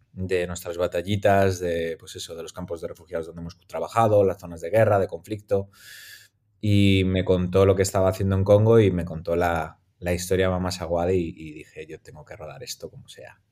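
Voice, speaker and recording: A man with a Madrid Spanish accent, talking about his work in a relaxed interview. His voice is very low and quite monotone, and he keeps pausing, restarting and filling gaps with fillers. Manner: hesitant, many fillers and restarts. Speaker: documentary filmmaker guest. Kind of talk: casual interview conversation.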